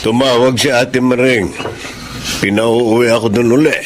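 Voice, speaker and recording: A man's voice: medium pitch, steady tone, measured pace, natural voice. A man playing a middle-aged Filipino husband in a radio drama, pleading and defensive. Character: beleaguered middle-aged husband, loyal to his siblings.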